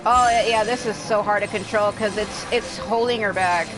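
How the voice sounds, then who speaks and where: A woman gives casual commentary over her gameplay, with music clearly audible behind her. Her voice sits at an average pitch for a woman. She rambles and grumbles bluntly, with plenty of swearing. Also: fairly even intonation, normal speed, poor audio. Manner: rambling, complaining. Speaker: livestreamer playing a video game.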